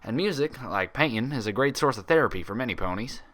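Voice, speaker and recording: A man's voice: medium pitch, animated, fast talker, fairly bright tone, lightly acted. A man voicing a frank, proud, friendly female pony doctor with a folksy way of talking, in a story reading.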